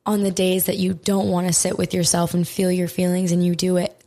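Hoarse, raspy young woman talking gratitude. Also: lowish voice, completely flat delivery, fairly quick, mellow. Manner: emphatic, swears often, repeats for stress. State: hoarse from crying. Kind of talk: motivational talk to camera.

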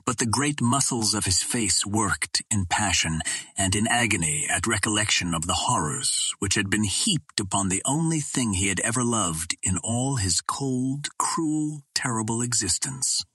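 A man reading a first-person adventure story as a dramatic, expressive audiobook narrator. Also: lowish voice, breathy edge, no echo.

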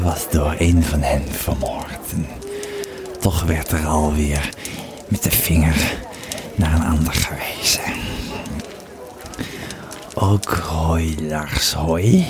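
Man playing a very deep, tipsy Roman legionary. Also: full character voice. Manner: halting, drunken musing with hesitations. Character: tipsy Roman legionary veteran recounting old war tales. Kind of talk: amateur audio drama storytelling. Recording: crowd chatter behind the voice.

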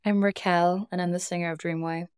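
A woman's voice: on the low side, flat delivery, fairly quick, a little mellow, clean tone, no room sound, clean studio sound. A young woman from Iceland, a band's singer, telling stories in a casual interview with frequent laughs. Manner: reflective, laughs often, storytelling. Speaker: band singer, guest.

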